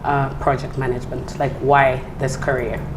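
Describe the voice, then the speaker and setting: A woman hosts an interview, asking curious, casual questions between short encouraging murmurs. Her voice is strikingly low. Background noise is clearly heard behind her. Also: flat delivery, normal speed. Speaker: interview host.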